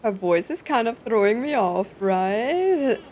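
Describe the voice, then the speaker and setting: A woman's voice: fairly high pitch, sing-song, unhurried, a little mellow, slight background noise. A young woman streaming a story game, chatting casually and saying "like" and "right" a lot. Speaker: gameplay streamer. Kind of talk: casual reaction commentary. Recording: voice over game music.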